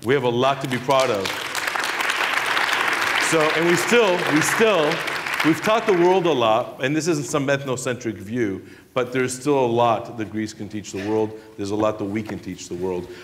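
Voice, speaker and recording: A man's voice: mid-range voice, animated, moderate pace. A man moderating a live panel discussion in an informal way. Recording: echoey hall, faint crowd noise.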